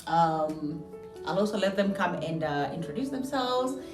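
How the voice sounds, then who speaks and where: A woman's voice: on the low side, lively intonation, measured pace. A woman, hosting a casual vlog from her home. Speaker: channel host. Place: indoors in a house. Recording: roomy echo, distant mic, music behind. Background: background music.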